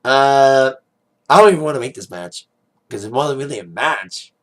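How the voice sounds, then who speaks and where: A man with a raspy, worn-out voice giving a casual spoken review, rambling with plenty of "like" and "uh". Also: mid-range voice, lively intonation, moderate pace, a bit bright. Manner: rambling, heavy on "like", "uh" and "you know". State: hoarse, voice fading after a DJ gig. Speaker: wrestling pay-per-view reviewer.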